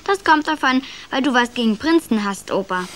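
A woman's voice: a little high, animated, normal speed, a bit bright. A female performer playing a cheeky, matter-of-fact young grandchild in a radio play. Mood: cheeky, confident.

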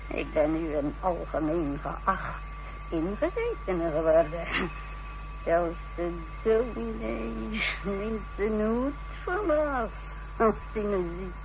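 Radio drama role: defiant, haughty middle-aged mother, low creaky edges, unhurried theatrical phrasing, muffled vintage recording. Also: animated. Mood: proud, self-satisfied. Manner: haughty, theatrical, deliberate delivery. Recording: dull, noisy old radio recording.

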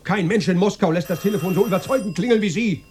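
A man's voice: sits high, quick pace, darkish tone, natural voice. Guy playing flustered bootlicking radio boss, stammery, scared, radio play, noisy humming recording. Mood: panicked. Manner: flustered, stammering, obsequious, repeating himself. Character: nervous, servile Moscow radio director terrified of Stalin. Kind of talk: radio drama acting.

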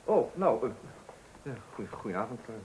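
A man's voice: mid-range voice, sing-song, normal speed, a little mellow, slight background noise, lightly acted, rough recording. A man playing a flustered, dithering husband in a comic radio play, hesitant, stammering and repeating himself. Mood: flustered, anxious. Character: flustered, dithering husband anxious about running the household.

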